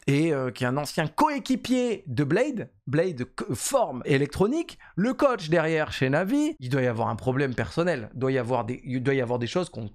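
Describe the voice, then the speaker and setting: A man in his thirties giving casual esports commentary to camera from a home streaming room, talking quickly with many fillers and repeated phrases. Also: mid-range voice. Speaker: esports YouTuber. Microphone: desk mic on boom arm.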